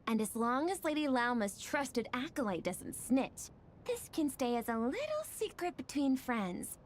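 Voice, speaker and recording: High, mischievous young woman. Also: big pitch swings, slight background noise. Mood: teasing. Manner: teasing, unhurried. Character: playful, mischievous young woman among friends. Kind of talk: video game voice acting. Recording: music behind the voice.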